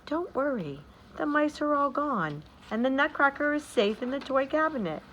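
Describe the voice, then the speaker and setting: A woman voicing a gentle mother who talks softly and soothingly. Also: high voice, expressive, moderate pace, a little hiss or hum, pitched above the performer's own voice, low-quality recording. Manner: soft and soothing.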